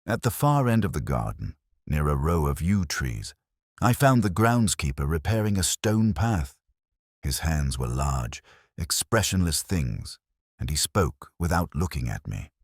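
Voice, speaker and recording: A man narrating a literary first-person detective drama as a melancholy, world-weary investigator, in a measured, brooding, solemn way. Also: extremely low pitch, very animated, breathy edge, dry recording, studio quality.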